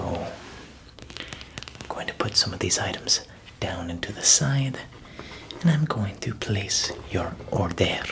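A man in an ASMR roleplay voicing a proud male baker who whispers slowly, chuckles softly and fumbles for words as if foreign. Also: fairly low pitch. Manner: whispered, slow, with soft chuckles.

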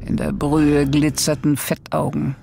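Horror radio play narrator: grave, suspenseful, creaky voice, light music underneath. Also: male voice, fairly high pitch, completely flat delivery, a little slow, warm tone. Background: faint music.

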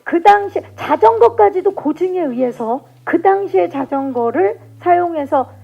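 A woman's voice: extremely high pitch, lively intonation, measured pace, vocal fry, lo-fi sound. A woman hosting a press conference in a playful, bantering way. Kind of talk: lively event hosting. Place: cinema press event, on microphone.

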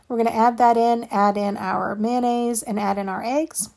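A woman's voice: a little high, fairly even intonation, normal speed, creaky, darkish tone. A woman narrating her home cooking in a chatty, plainspoken way, with frequent ums and asides. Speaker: home cook and channel host. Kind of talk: casual cooking voice-over. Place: home kitchen.

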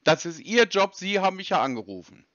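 Brief: German-speaking man, higher voice with a very lively pitch, stern and interrogating, dry muffled line. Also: a little fast, dry recording. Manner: insistent, interrogating, formal. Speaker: scam-baiting caller. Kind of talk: prank phone call.

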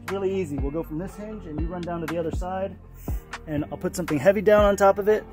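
A man in his forties vlogging in an excitable, animated, jokey way, filming himself in a car and on a boat. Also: high-pitched, fast, soft background music. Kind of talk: travel vlog narration. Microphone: camera held at arm's length.

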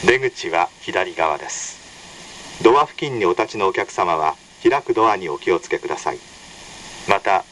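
A man reading a scripted, formal and measured station announcement as a recorded train announcer. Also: lowish voice, a bit bright, rough recording. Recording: recorded over train speakers with faint noise. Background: train cabin hum.

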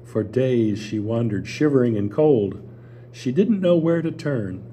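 An older man narrates a play reading with measured storytelling, reading from a table on a small theater stage. His voice is warm and dark, with lively rises and falls in pitch. A table mic picks him up, with a faint hum behind it. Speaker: stage reader.

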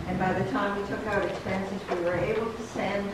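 A woman's voice: mid-range voice, fairly even intonation, a little fast. A middle-aged woman giving a chatty, enthusiastic announcement at a church pulpit. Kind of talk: casual announcement to a congregation. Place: small wooden church sanctuary, at a pulpit. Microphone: stand mic at the pulpit, far camera. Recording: echoey church room from a distant camera, background noise.

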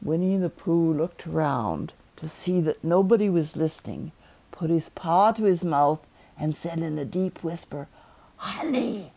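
An elderly woman reading a children's story aloud in an expressive, deliberate way, as a storyteller in her living room. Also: deep voice, creaky. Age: 70s or older.

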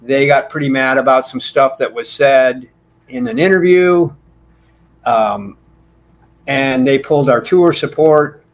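An older man chatting on a video call from a small room at home, talking at length and drifting from story to story. His voice sits in an ordinary middle pitch, relaxed and unhurried. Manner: talkative, rambling storyteller. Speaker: bassist guest. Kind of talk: casual interview chat. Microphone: video call with desk mic.

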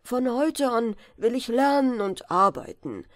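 A woman's voice: fairly high pitch, lively intonation, a little slow, no room sound, clean studio sound. A woman voicing an ashamed young storybook prince, earnest and solemn. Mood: contrite, resolute.

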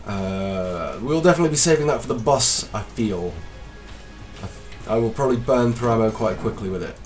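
A man with a slight cold, casually commentating his gameplay as a let's play host, excitable and self-deprecating. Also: on the low side, normal speed, recorded from a distance, soft background music.

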